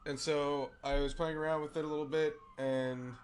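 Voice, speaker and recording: A man who is feeling unwell, with a raspy voice, hosting a casual card game show and talking through a deck with many fillers and restarts. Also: a little high, little pitch movement, moderate pace, a little hiss or hum. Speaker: host.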